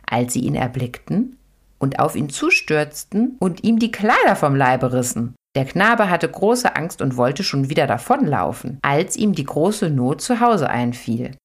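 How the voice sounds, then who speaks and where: Lively low-voiced woman reading a folk tale. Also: a little slow, breathy edge. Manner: lively, expressive read-aloud with sudden high lifts. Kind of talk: storybook narration.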